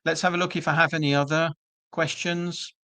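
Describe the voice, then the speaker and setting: British guy hosting a school webinar on a video call, bright slightly high voice, dry sound, lots of ums. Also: flat delivery, fairly quick, no room sound. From Britain. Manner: chatty, reassuring, frequent ums and uhs. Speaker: webinar presenter for a business school. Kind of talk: online webinar presentation.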